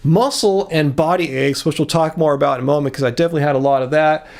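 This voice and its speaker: Gravelly-voiced American man, slightly high, earnest casual vlogger. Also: fast talker, a little mellow. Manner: earnest and explanatory, with frequent restarts. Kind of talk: casual vlog talk to camera.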